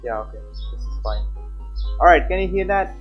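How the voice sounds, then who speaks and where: A man's voice: high voice, expressive, normal speed, lo-fi sound, soft background music. A man livestreaming a game, chatting casually and reading visual novel text aloud. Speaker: live streamer.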